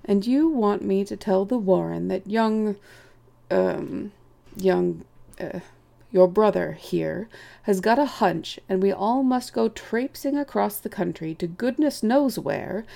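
A woman voicing an elderly male chief rabbit in an audiobook, politely detached and condescending, with a vague, genteel, unhurried manner. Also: mid-range voice, lively intonation, gravelly, dark tone, heavily acted. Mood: patronizing. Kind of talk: audiobook character voice.

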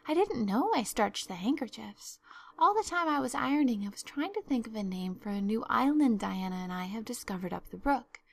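Woman acting young girl, high voice, effusive, theatrical, pleading, earnest storybook chatterbox. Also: lively intonation, brisk, vocal fry. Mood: earnest, dreamy. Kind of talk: storybook narration.

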